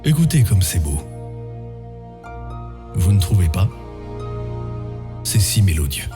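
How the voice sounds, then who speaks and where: An actor playing a dreamy, soothing and rapturous announcer in a parody broadcast interruption for an audio comedy. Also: male voice, sits low, big pitch swings, takes it slowly, exaggerated voice. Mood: serene, enraptured. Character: dreamy, self-absorbed technical-interruption announcer. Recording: new-age music behind the voice.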